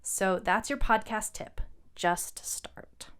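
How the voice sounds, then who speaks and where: A woman with a smooth, clean voice talks as a podcast co-host. She is informal and encouraging, like a writer handing out advice in easy conversation. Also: mid-range voice, takes it slowly, a bit bright. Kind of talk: casual conversational podcast talk.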